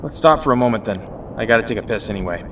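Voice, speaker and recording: A man's voice: medium pitch, lively intonation, racing, recorded from a distance, background noise, close to an everyday voice. A man playing a brash, jumpy young superhero sidekick in an audio drama. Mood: casual.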